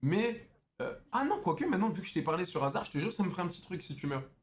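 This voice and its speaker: Young male streamer, mocking slangy banter, quick and a bit high. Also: very animated. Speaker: streamer chatting with strangers on video chat. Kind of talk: casual teasing chat.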